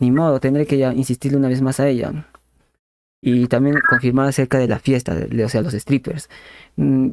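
A man's voice: medium pitch, darkish tone. A young man with a Peruvian accent improvising a prank phone call in a repetitive, hedging salesman patter. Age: young adult. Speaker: prank caller and channel host. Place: small bedroom, indoors. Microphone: desk condenser microphone with pop filter. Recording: clear background noise, clicks.

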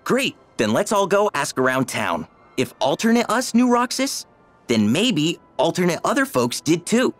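A man playing an eager, chatty, curious teenage boy sleuth in an animated video game. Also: fairly high pitch, normal speed, soft background music.

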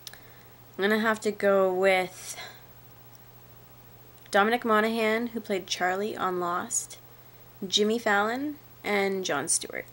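A young woman with a stuffy, congested nose from a cold, chatting in a laid-back way for her vlog while sitting on a sofa at home, recorded on a camera held close. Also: medium pitch. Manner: chatty and laid-back, trails off quietly at the ends of sentences. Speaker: vlogger. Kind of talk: casual vlog answering tag questions. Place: living room, sitting on a sofa, indoors. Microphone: webcam or handheld camera at arm's length.

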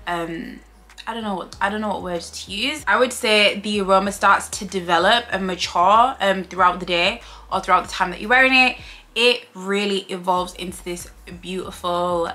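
A woman's voice: mid-range voice, sharp tone. A young woman from Leeds with a Yorkshire accent, chatting casually to camera as a beauty vlogger in her bedroom. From Leeds, England. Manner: bubbly, talks with her hands. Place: bedroom, indoors.

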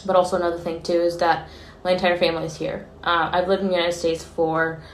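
A young woman from Ukraine talks into a phone held at arm's length. Her voice sits low and flat as she speaks in an earnest, shaken way, pausing and restarting often. The room echoes around her, and a faint hiss runs underneath. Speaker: vlogger. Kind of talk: selfie video diary. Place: small room indoors, street outside for some clips.

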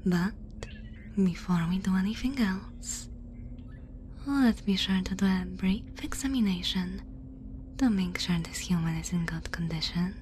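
A cautious alien woman scientist voiced by an actress whispers slowly and softly for an ASMR roleplay. She is formal, measured and reassuring. A faint ambient noise sits behind her. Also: mid-range voice, close to an everyday voice. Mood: earnest. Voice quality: soft breathy whisper.

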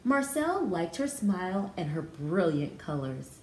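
A woman's voice: fairly low pitch, very animated, measured pace. An American woman, a pre-kindergarten teacher, reading a story aloud to young children and explaining it expressively in simple words. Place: indoors, small room with hard walls. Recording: roomy echo, faint background noise.

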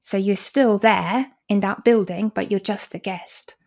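A woman's voice: mid-range voice, steady tone, a little slow, creaky, darkish tone. Guest interpreter, polish native speaker in her forties, explains things carefully and restarts a lot, video call mic. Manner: explanatory, frequent restarts and fillers, occasional laughs. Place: small room indoors. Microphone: video call, desk mic.

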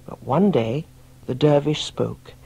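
Soft, breathy storyteller with a deliberate delivery. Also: medium pitch, muffled recording. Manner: measured, deliberate delivery with dramatic pauses. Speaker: storyteller narrator. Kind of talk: scripted audiobook story narration.

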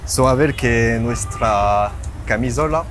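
A man in his twenties from Paris with a Parisian accent and French as his native language, chatting casually and haltingly in the street. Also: medium pitch, a bit bright. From Paris, France. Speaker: street-approach vlogger. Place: outdoors on a busy city street. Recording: street noise with traffic behind.

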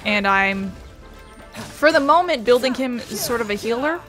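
A woman's voice: fairly high pitch, expressive, normal speed, fairly bright tone. A woman giving casual, rambling, wry commentary as she plays a video game. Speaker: gaming streamer. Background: game music.

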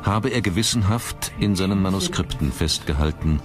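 A man reading scripted documentary narration in a measured, dramatic manner. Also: sits low, lively intonation. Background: background music.

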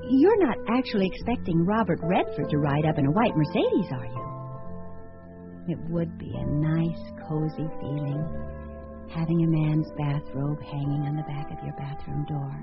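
A woman's voice: low voice, animated, moderate pace. An actress in a radio drama playing a wry, romantic single woman who muses and questions herself theatrically. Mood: wry musing. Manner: theatrical, musing, self-questioning. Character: Laura, wry romantic single woman weighing her suitors. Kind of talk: radio drama acting, monologue and dialogue. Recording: muffled audio with music.

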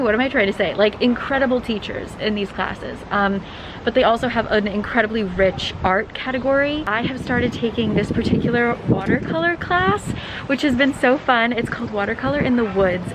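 A young adult woman chatting casually to camera in an animated, informal, digressive way as she vlogs. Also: fairly high pitch. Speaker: vlogger and host. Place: outdoors on windy city streets. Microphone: handheld camera at arm's length. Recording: wind and street traffic noise.

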